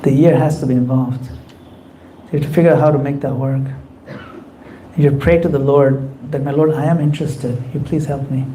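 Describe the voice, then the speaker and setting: A man's voice: medium pitch, steady tone, a little fast, mellow, slight background noise, poor audio. A man giving a conversational temple class, acting out quoted voices as he explains. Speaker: spiritual teacher. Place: temple hall.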